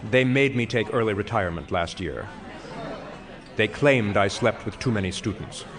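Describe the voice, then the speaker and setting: Pompous older professor, theatrically wordy. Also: male voice, on the low side, lively intonation, moderate pace, crowd noise. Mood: confessional, wistful. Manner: theatrical, wordy, self-mocking speechifying. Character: pompous, self-dramatizing retired philosophy professor and smoker. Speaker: actor in an audio play. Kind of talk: stage comedy acting.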